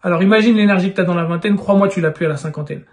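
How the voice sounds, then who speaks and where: A man in his thirties with a fairly high voice talks straight to the viewer. He sounds urgent and persuasive, and keeps pressing his point. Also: very fast. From France. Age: 30s. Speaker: real estate YouTuber. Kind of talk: direct-to-camera advice vlog.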